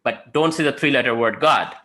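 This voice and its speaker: A man's voice: mid-range voice, fairly quick. A man in his thirties, not a native English speaker, giving a sermon through personal stories. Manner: storytelling, animated, rising to emphatic peaks. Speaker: guest speaker.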